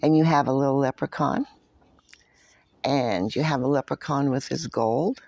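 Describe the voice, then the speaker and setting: A woman's voice: extremely low pitch, moderate pace, a little mellow, no room sound, muffled recording. A woman children's librarian talking at storytime, explaining things simply for young children. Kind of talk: children's storytime craft talk.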